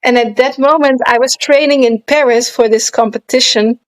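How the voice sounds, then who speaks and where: A woman's voice: fairly high pitch, fairly even intonation, normal speed, low-quality recording. A woman in her thirties from the Netherlands with a Dutch accent, chatting casually as an interview guest and sometimes searching for English words. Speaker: guest, pole dancer and movement artist.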